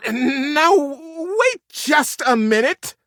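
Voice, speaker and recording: A man's voice: extremely high pitch, very animated, extremely slow, bright, forceful tone, no room sound, voice pushed up, full character voice. A man voicing a stern old female beaver matriarch in a storybook tale, with a trilling, imperious, scolding manner. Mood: scolding, indignant.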